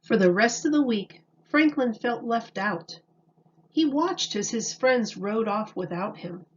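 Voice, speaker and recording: A woman with a fairly high voice narrates a children's storybook at a slow pace. Her read-aloud delivery is deliberate and expressive, like a teacher's. The recording sounds slightly muffled.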